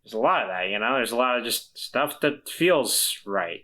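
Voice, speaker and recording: A man's voice: medium pitch, animated, moderate pace, pushed and bright. A man playing an uneasy, evasive character turning into an animal, stammering and repeating himself in a tabletop roleplaying game. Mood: hesitant, unsettled. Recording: faint guitar music behind the voice.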